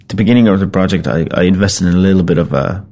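Gravelly low-voiced Finnish man. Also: a little mellow. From Finland. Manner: halting, rambling, self-deprecating. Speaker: rock musician. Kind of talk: casual spoken account.

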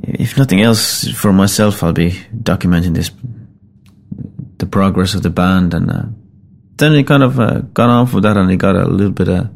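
A middle-aged Finnish-born man with a Finnish accent, a rock musician talking casually in English, his second language, in a halting, rambling, self-deprecating way. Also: deep voice, gravelly, a little mellow. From Finland. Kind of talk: casual spoken account.

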